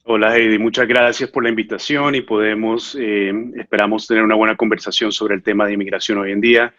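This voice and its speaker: A man from Venezuela in his thirties, an immigration lawyer answering interview questions in an earnest, measured way, with frequent filler sounds. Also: fairly low pitch, little pitch movement, fairly bright tone, dry recording, dull audio.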